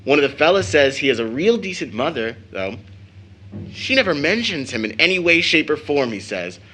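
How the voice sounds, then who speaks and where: An actor playing a proud, teasing teenage son in an audio drama of an early 1900s play, with an American accent and a theatrical, boyish manner. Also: male voice, on the high side, sing-song, moderate pace, sharp tone, a little hiss or hum. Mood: confiding, indignant. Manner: theatrical, jokingly pompous with slangy boyish talk.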